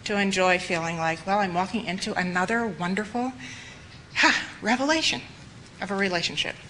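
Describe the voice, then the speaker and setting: A slightly hoarse woman giving an earnest, reflective spoken lecture to medical students in a lecture room. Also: mid-range voice, a little fast, sharp tone, slight background noise, low-quality recording. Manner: earnest, reflective, with emphatic repetition.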